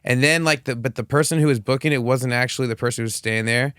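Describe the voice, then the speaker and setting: A man co-hosting a podcast in casual chat, informal and teasing, with halting, repeated phrases. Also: medium pitch, a bit bright, smooth, no echo, studio quality. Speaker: podcast co-host.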